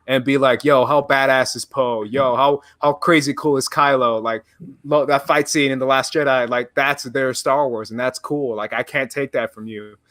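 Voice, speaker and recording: A man's voice: mid-range voice, sharp tone, dry recording, studio quality. A man in his late twenties, a podcast guest, answering in a laid-back and friendly way. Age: 20s. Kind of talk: casual interview answers.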